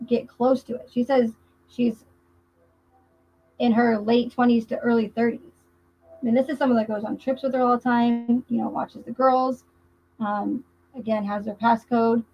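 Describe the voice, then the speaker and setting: Higher-voiced woman livestreamer, muffled and husky. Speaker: livestream host. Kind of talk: casual livestream commentary on a true crime case. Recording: muffled, dull lo-fi livestream audio.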